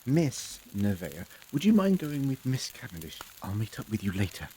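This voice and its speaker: A man's voice: fairly low pitch, sing-song, moderate pace, mellow, a little hiss or hum, poor audio. A man playing an eccentric, affable time-travelling doctor in a sci-fi audio drama, whimsical and polite with slight hesitations. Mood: courteous, playful.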